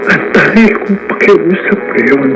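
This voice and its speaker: A Filipino actor in a radio drama playing an anguished, devout man, halting and pleading in prayer. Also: a little high, lively intonation, very noisy. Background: prominent music.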